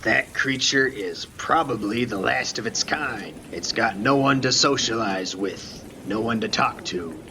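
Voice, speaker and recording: Creaky-voiced lighthouse keeper played by a man, calm, mysterious storyteller for a student radio play; rain and thunder behind. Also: lowish voice, a little slow, few pauses, noisy background. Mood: calm, reflective.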